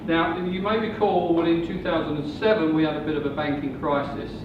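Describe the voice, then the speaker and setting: A man in his sixties giving a measured, slow sales presentation about property investing. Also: a little high, steady tone. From England. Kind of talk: seminar presentation. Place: hotel function room. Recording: muffled, distant, echoey room with noise.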